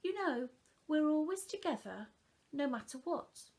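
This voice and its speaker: A woman reading the part of a calm, loving mother who gently and patiently reassures her young children in a storybook. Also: high-pitched, unhurried, voice pushed up. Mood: soothing.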